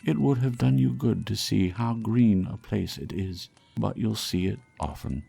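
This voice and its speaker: Man acting a tender, grieving father, gravelly and earnest. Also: fairly low pitch, measured pace, mellow, pitched above the performer's own voice, heavily acted. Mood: tender, breaking down. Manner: earnest and emotional. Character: Bob Cratchit, tender, grieving working-class father. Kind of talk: storybook character acting.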